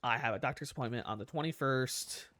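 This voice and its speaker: A man's voice: mid-range voice, fast talker, bright, forceful tone, dry recording. A man with a nasal voice, rambling in casual commentary over gameplay as a gaming YouTuber, swearing often and laughing at himself.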